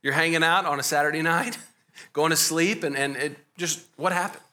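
A man's voice: on the high side, fairly even intonation, normal speed, bright, forceful tone. A young man with a Texas accent, a pastor leading a church interview, friendly and joking, with hesitant restarts. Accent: Texan. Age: young adult.

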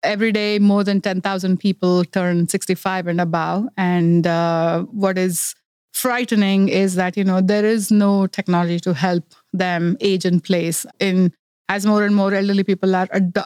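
A middle-aged woman who grew up in India speaks earnestly as a founder in a podcast interview, with many fillers as she explains. Her voice is smooth and resonant. The sound is dry and close. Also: fairly low pitch, steady tone, moderate pace, a little mellow, no room sound. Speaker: startup founder.

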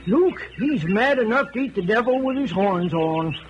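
An actor playing a crotchety, folksy old western sidekick in a radio drama, blunt and grumbling. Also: male voice, high-pitched, lively intonation, moderate pace, muffled recording. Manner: folksy drawling cowboy talk, grumbling. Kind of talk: 1950s radio western acting. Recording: old radio recording, faint noise.

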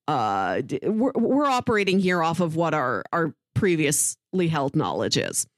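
A woman's voice: low-pitched, very animated, measured pace, no echo, studio quality. A woman co-hosting a podcast in casual banter, chatty and wry, with a playful way of talking. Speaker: podcast co-host.

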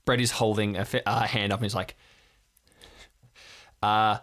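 A man's voice: fairly low pitch, fairly even intonation, fast talker, fairly bright tone. A man casually narrating an improvised role-play story as game master.

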